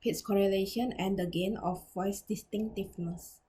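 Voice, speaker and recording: A woman giving a scripted academic presentation as a researcher, reading formally and carefully with slightly halting phrasing. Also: medium pitch, fairly even intonation, dark tone, husky, rough recording.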